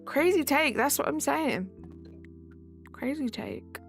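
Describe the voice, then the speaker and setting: A young woman talks casually into a desk mic as she plays a game live, answering her chat in an easy, friendly way. Her voice sits a little high for a woman. Soft music plays quietly behind her. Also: sing-song, slow, creaky. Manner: chatty, reads and answers chat while playing. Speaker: live streamer. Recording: faint background music.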